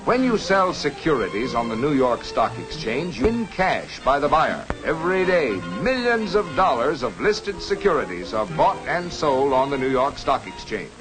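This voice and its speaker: An actor playing an earnest, reassuring American stockbroker who explains things in an instructive, salesmanlike way in a 1950s educational cartoon. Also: male voice, on the high side, very animated, moderate pace, hardly any pauses, low-quality recording. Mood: informative. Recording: 1950s film soundtrack with music. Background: music.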